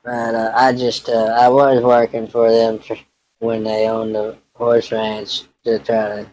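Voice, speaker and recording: A man acts out a young Texan ranch hand with a very monotone delivery. He is laid-back and folksy, rambling through his phone conversation in halting phrases at a middle pitch. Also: no echo, highs cut off, close to an everyday voice. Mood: easygoing, rambling. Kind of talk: improvised prank phone call in character.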